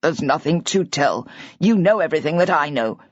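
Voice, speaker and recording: A despairing older husband who rambles in a near-hysterical rush, as a woman narrator puts him on. The voice stays close to her own husky tone, on a dull, dry recording. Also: deep voice, lively intonation, moderate pace, dry recording. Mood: distraught.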